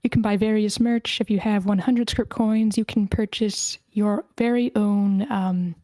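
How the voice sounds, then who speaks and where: Female host, mellow medium-pitched voice, informal and chatty, dry recording. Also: steady tone, unhurried, no room sound. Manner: informal, chatty, thinking aloud. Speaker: host of an online script table read. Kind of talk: casual event hosting.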